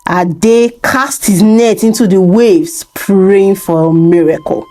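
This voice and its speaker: A woman's voice: mid-range voice, steady tone, takes it slowly, warm tone, faint music behind. A woman narrating a folktale as a storyteller, reading from a script.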